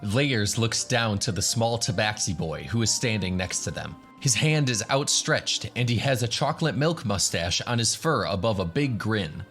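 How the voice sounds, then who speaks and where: Low-voiced male fantasy narrator. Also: lively intonation, normal speed, few pauses, a bit bright, soft background music. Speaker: narrator, game master. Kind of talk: scripted fantasy radio drama narration.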